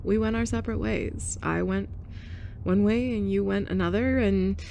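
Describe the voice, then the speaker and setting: A woman's voice: mid-range voice, normal speed, vocal fry, warm tone, slight background noise. A young woman playing a blunt, sarcastic former bully in an audio roleplay, sighing and scoffing as she talks. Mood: confessional. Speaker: ASMR roleplay performer. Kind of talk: F4M ASMR roleplay.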